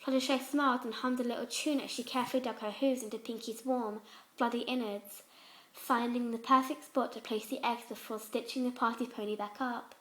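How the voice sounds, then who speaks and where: Female narrator for horror fan fiction, notably high-pitched, reading dramatically from a script. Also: steady tone, fairly quick, fairly bright tone.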